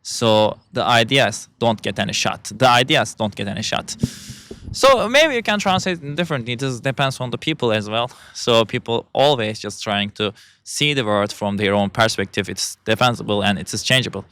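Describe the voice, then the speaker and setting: A young man with a Turkish accent talks in English, stopping often to hunt for the right word. His voice is bright and crisp, and it sits close and dry on the mic with no room sound. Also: medium pitch, animated, no echo. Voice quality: halting, searching for English words. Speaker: vlogger. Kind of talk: casual monologue.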